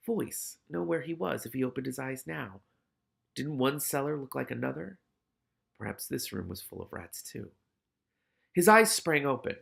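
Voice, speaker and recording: A man's voice: mid-range voice, creaky, fairly bright tone. A man reading a novel aloud expressively, with casual, candid asides of his own.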